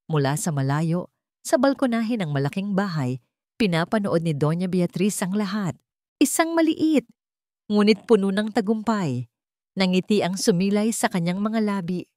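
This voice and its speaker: A woman with a Filipino accent narrates a scripted story in a measured, dramatic way, in short phrases. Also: mid-range voice, animated, a little mellow, no room sound, clean studio sound.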